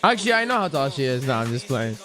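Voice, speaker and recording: A man's voice: medium pitch, sing-song, quick pace, bright, forceful tone, soft background music. A young man hosting a casual crypto trading livestream, joking with chat and rambling, his voice a little worn. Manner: joking, rambling, breaks into singing. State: slightly lost voice. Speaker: livestream host, crypto trader.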